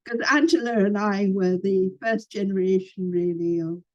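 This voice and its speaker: An older woman, a former professor, reminiscing in an unhurried conversation with frequent pauses and fillers. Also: medium pitch, dull audio.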